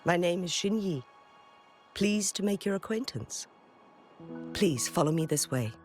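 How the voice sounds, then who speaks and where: A courteous recluse from a video game. His voice is a little high for a man, with calm, formal, gracious phrasing. Music runs clearly behind him. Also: unhurried. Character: courteous, unhurried male host living in seclusion.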